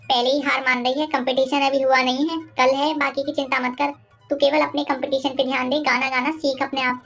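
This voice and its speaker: A very high-voiced, bossy mother-in-law acted out by a woman plots and scolds in a cartoon moral tale. She is middle-aged and villainous, quick to hurl insults, with music playing behind her. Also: level tone, a bit bright. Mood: scolding. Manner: bossy, scolding, name-calling. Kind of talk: animated moral-story dialogue.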